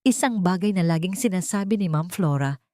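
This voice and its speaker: A woman's voice: fairly low pitch, expressive, brisk, darkish tone, dry recording, clean studio sound, close to an everyday voice. A woman voicing a frightened, deferential old housemaid with a trembling voice in a dramatic story. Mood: fearful, urgent.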